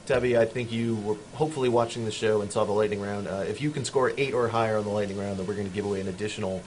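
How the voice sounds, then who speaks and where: A man's voice: on the low side, steady tone, darkish tone, low-quality recording. A man hosting a casual online show, joking with his guest and asking him questions. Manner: joking, quick to laugh. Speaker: show host. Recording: clear background noise.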